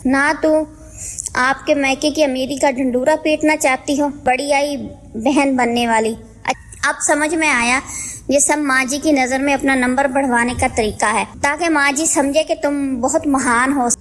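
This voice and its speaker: A woman playing a jealous elder sister-in-law and mother in an animated story, sarcastic, scolding and accusatory. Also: high-pitched, a little slow. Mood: angry, accusing. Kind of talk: Hindi animated moral story dialogue.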